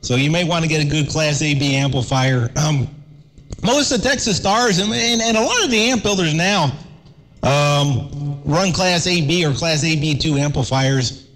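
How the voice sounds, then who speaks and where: A man's voice: fairly high pitch, moderate pace, fairly bright tone, low-quality recording. A man chatting casually over amateur radio, laid-back and calling everyone "man".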